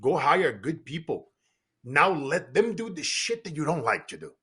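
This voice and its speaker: Husky, bright-voiced middle-aged man talking emphatically. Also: a little high, moderate pace. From Brazil. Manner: animated, repetitive restarts, emphatic. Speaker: guest, business coach and speaker. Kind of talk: casual podcast conversation.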